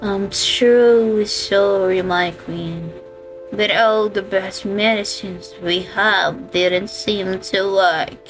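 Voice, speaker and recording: A solemn royal healer, acted by a woman in a radio play, speaking slowly at a middling pitch. The delivery is formal, deferential and earnest, with faint music underneath. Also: fairly even intonation, poor audio. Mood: grave, apologetic.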